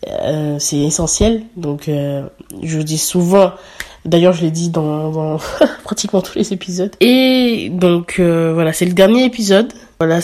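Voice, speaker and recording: A young woman hosting her own podcast, talking earnestly in a halting way with many fillers and restarts, a little stuffy from a slight cold. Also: fairly low pitch, warm tone. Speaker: podcast host. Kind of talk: casual solo podcast talk.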